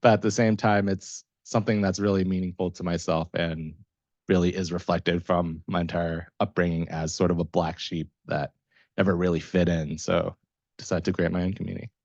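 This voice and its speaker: A young man with an American accent and a very low voice talks casually as a panelist about himself. The sound is close and dry, though a little dull. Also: level tone, moderate pace, creaky, a little mellow, no echo. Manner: casual, chuckles often while talking. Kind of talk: informal personal account at a career panel.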